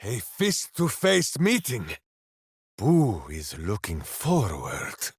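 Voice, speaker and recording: A man's voice: fairly low pitch, wildly swooping pitch, slow, slightly rough, no echo, studio quality, heavily acted. A man voicing a big-hearted, simple-minded heroic warrior in a video game, bombastic and earnest, speaking of himself in the third person. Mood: earnest, puzzled. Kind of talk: video game character voice acting.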